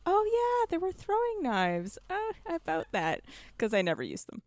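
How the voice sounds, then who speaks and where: A woman's voice: very high voice, wildly swooping pitch, moderate pace, no echo. A woman co-hosting a casual gaming podcast in an informal, conversational way. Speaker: podcast co-host.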